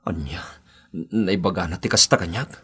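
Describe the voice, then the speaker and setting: A man playing an uneasy, apologetic young boyfriend in an Ilocano radio drama, with a husky voice and an Ilocano accent. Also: low-pitched, normal speed, sharp tone, full character voice. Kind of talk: Philippine radio drama acting.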